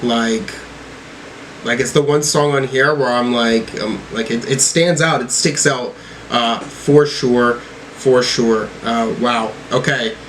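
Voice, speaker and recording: A man giving a casual spoken album reaction, thinking aloud in a halting, hesitant way. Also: medium pitch, fairly even intonation, fairly bright tone, slight background noise, rough recording. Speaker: music reaction reviewer.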